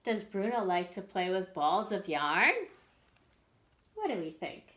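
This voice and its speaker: A woman storyteller reads a children's picture book at an unhurried pace. Her delivery is lively and expressive, with big swings in pitch, as she draws young listeners in. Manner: slow and expressive, pausing to ask listeners questions.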